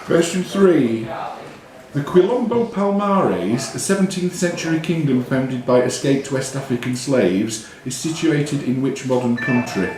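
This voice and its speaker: A man hosting a quiz, reading questions deliberately and giving short, curt rulings on the answers. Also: mid-range voice. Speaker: quizmaster. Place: indoors in a pub. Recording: very echoey room with pub crowd. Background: clear crowd chatter.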